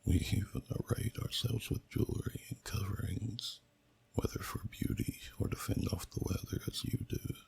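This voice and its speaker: A man voicing an ancient, solemn dragon who slowly tells a story in a deep, gravelly, breathy half-whisper, in ASMR style. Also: fairly even intonation, a little mellow. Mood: wistful, reverent. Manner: slow, solemn, deliberate, archaic phrasing. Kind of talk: ASMR storytelling, scripted narration.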